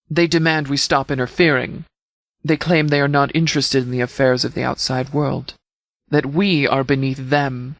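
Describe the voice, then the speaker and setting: A stern lawman addresses his forces in a formal, commanding manner. His voice sits slightly high for a man, and the sound is very dry and close. It is scripted video game dialogue, delivered as a measured military briefing. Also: a bit bright, no room sound. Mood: grave, deliberate. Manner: authoritative, formal, measured wording. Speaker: sheriff, leader.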